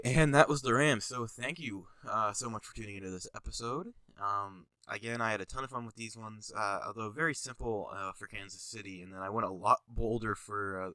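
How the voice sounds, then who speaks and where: A young man with a stuffy, congested voice wanders through casual commentary full of fillers and tangents. His tone is bright and a little tense, pitched slightly low, and the recording is close and dry. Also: clean tone, no echo. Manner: rambling, lots of fillers, goes on tangents. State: stuffy nose, sniffling, tired. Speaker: channel host reviewing his own jersey designs. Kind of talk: casual commentary over design images.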